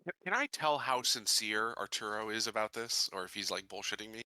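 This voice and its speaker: A man playing a tabletop roleplaying game, chatting and improvising questions in a halting, thinking-aloud way. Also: fairly low pitch, expressive, pushed and bright, no echo. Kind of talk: casual improvised roleplay chat.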